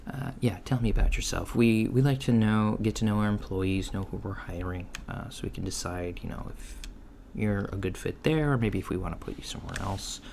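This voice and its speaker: A man playing an easygoing, reassuring alien job interviewer in a soft-spoken ASMR roleplay, talking casually and haltingly and trailing off. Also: on the low side. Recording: lo-fi with clear background noise. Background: computer keyboard typing.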